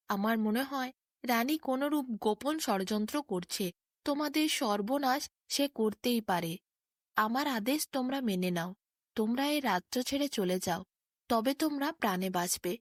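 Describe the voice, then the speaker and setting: A troubled, fatherly king of a fairy tale read by a woman speaks with formal earnestness. The voice is fairly high and recorded very dry. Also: no echo. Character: aging king, troubled loving father, solemn and principled.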